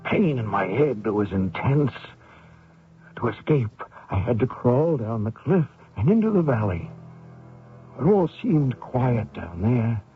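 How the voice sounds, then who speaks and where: A tinny old radio broadcast with music carries a desperate, wounded soldier on the run. He speaks in a medium-pitched voice, strained and dramatic, as if telling his ordeal in an old-time radio play. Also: big pitch swings, takes it slowly, husky, heavily acted. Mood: haunted, tense. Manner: dramatic first-person narration with strained pleading. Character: desperate wounded American fugitive soldier recounting his escape.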